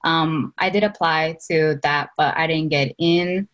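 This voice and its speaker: A woman's voice: deep voice, steady tone, measured pace, fairly bright tone. A young woman from Spain, a college student on a panel, explaining things earnestly with frequent "like" fillers. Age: young adult. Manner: earnest, uses many "like" fillers. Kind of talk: casual explanation.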